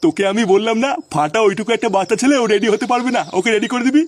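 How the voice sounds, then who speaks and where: A man voicing a fussy, sharp-tongued old village grandfather in a comic cartoon, bossy and cartoonishly high-pitched. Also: expressive, rapid-fire, no room sound.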